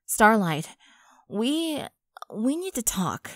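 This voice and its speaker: A woman's voice: fairly high pitch, big pitch swings, takes it slowly, a bit bright, no room sound, studio quality, full character voice. A woman playing a firm but caring young female unicorn, frank and soothing with her friend. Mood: gentle, soothing.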